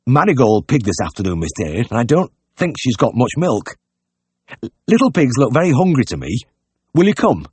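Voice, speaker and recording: A man's voice: medium pitch, big pitch swings, fairly quick, darkish tone, breathy edge, no room sound, pitched above the performer's own voice. A man voicing an anxious, pig-obsessed older country innkeeper in an audiobook, earnest and plain-spoken with rustic phrasing. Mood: worried, pleading.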